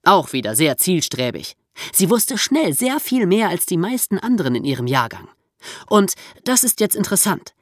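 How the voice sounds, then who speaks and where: A woman's voice: on the low side, big pitch swings, normal speed, dry recording, studio quality. A woman hosting a podcast for young listeners, explaining and storytelling in a conversational way with casual asides. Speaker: podcast host.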